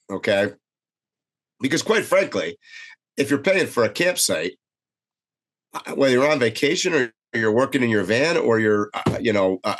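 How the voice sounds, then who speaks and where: Man with a cold co-hosting a podcast, lively swings in pitch, opinionated, lots of ums. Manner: animated, opinionated, many fillers. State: has a cold. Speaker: podcast co-host. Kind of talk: casual podcast chat over video call.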